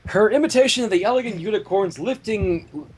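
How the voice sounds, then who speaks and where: A man reading a fan-made story aloud as expressive narration, with casual asides. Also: high voice, a little fast, slightly rough. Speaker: story reader.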